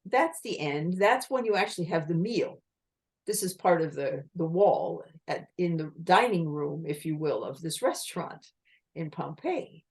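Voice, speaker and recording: A woman giving an online communication talk with slides, explaining in a lively manner and asking her listeners rhetorical questions over a video call. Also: sits low, measured pace. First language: English.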